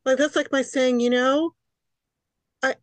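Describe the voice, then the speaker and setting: A woman's voice: high-pitched, steady tone, unhurried, no echo. A woman in her sixties from Queens, New York, whose first language is Hungarian, talking animatedly as a guest in a podcast interview and often repeating words as she starts a thought. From New York City, Queens. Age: 60s. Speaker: guest author.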